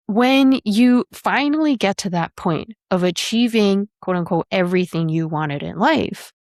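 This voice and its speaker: A woman in her thirties hosts her own podcast and coaches on careers, talking steadily and reflectively. Her voice sits a little low for a woman. The recording is dry and close, with no room sound. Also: no echo. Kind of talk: solo podcast monologue.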